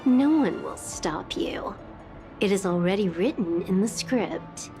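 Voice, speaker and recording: A woman's voice: medium pitch, lively intonation, slow, over music. A woman playing a serene, prophetic figure in a video game, speaking in a grave, portentous manner. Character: serene, prophetic woman foretelling destruction and rebirth. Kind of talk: dramatic video game voice acting.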